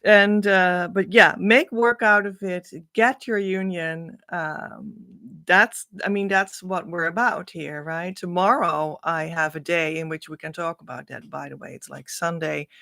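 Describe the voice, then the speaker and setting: Woman, slow unhurried speech, gravelly fry, dry close sound, earnest encouraging coach. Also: lowish voice, fairly even intonation, bright, forceful tone, no echo. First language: Dutch. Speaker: spiritual coach and card reader. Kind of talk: casual card-reading monologue.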